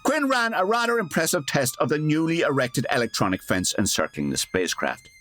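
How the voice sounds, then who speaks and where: A man's voice: fairly high pitch, very animated, fairly quick, a bit bright, faint music behind. A man playing a wry, colloquial narrator delivering scripted comic narration for a radio parody.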